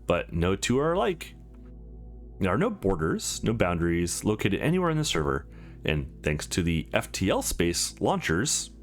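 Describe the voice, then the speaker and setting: An upbeat, courteous woman receptionist from a sci-fi visual novel, read by a man. She delivers formal sales patter with very lively inflection. Soft music plays underneath. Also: on the low side. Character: courteous, upbeat female company receptionist in space. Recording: music behind the voice.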